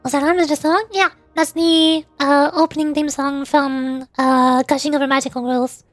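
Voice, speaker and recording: High-voiced woman chatting casually on stream. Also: flat delivery, moderate pace, no echo, faint music behind. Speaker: VTuber livestreamer. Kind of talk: casual livestream chat answering viewers.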